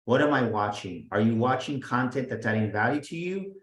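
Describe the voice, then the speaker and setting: A man talking as a guest business coach, energetic and emphatic, often restarting and repeating his words. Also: mid-range voice, brisk. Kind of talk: motivational interview talk. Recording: roomy echo.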